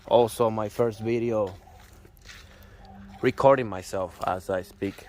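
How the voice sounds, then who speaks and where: Young man, Puerto Rican Spanish accent, low voice, halting casual explanation, phone mic outdoors, faint background ambience. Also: fairly even intonation, a little mellow, slight background noise. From Puerto Rico. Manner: halting, searching for words, self-correcting. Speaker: car owner showing his truck. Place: outdoors by a pickup truck.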